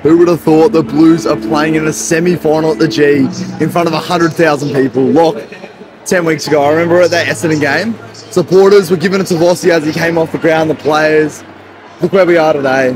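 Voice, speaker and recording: A young man in his twenties with an Australian accent, vlogging nervously and excitedly as a football fan from the stands, phone at arm's length. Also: on the high side, brisk, low-quality recording. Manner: animated, nervous fan commentary. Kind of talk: excited game-day vlog chat. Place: packed outdoor stadium stands. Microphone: phone held at arm's length. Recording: loud roaring crowd and stadium music.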